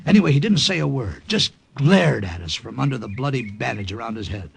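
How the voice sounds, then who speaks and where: An American actor playing a wisecracking, excitable wartime airman who narrates his mission in an old radio drama. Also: medium pitch, brisk, creaky. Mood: tense narration. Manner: jokey, excitable. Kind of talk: 1940s-50s radio drama acting, part narration. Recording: old radio recording with loud music.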